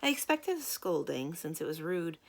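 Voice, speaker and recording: A woman's voice: low voice, sing-song, normal speed, creaky. A woman reading a children's novel aloud as a storyteller. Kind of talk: scripted read-aloud narration of a children's novel.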